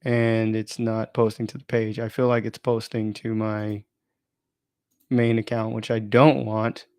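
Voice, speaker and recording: A man's voice: on the low side, flat delivery, measured pace, a little mellow, clear, resonant tone, no room sound. A man chatting informally and thinking aloud as he runs an unplanned live stream, often interrupting himself in a rambling way.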